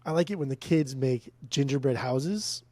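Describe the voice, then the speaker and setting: A man's voice: mid-range voice, moderate pace, warm tone, no room sound. A man with a stuffed-up nose co-hosting a casual podcast, rambling and swearing freely. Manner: rambling, swears a lot, mock-angry joking. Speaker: podcast co-host and record store owner. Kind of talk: casual chat. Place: room at home. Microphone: desk microphone on a mixer.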